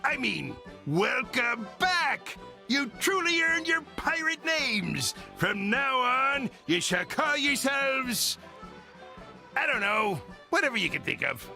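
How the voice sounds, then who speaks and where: A man's voice: extremely high pitch, big pitch swings, slow, creaky, sharp tone, soft background music, full character voice. A man voicing a greedy, self-important pirate captain in a video game, with a mock pirate accent and blustering, theatrical manner.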